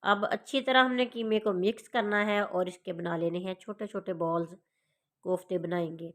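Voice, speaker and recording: A woman's voice: medium pitch, steady tone, normal speed, a bit bright. A woman with a sore throat casually narrates a recipe step by step, addressing her viewers directly as a home cook hosting her channel. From Pakistan. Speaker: home cooking channel host.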